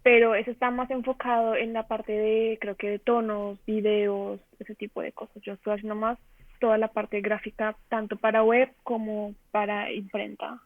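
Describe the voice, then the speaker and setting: A woman's voice: medium pitch, flat delivery, normal speed, no room sound. A young woman with a Colombian accent answering interview questions casually and hesitantly, searching for words. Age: young adult. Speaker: interview guest, design trainee. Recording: narrow telephone-like online call.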